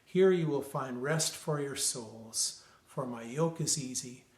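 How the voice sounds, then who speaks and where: Male lay reader, medium pitch, plain scripture delivery, roomy echoing sound. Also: slow pace, a little mellow. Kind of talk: plain scripture reading with informal introduction. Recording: echoey room sound.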